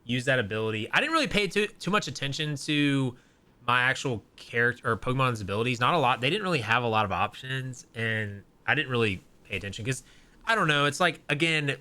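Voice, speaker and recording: Bright-voiced rambling young man. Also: mid-range voice, expressive, fairly quick. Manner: rambling, many fillers and restarts. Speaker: podcast host. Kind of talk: casual chat.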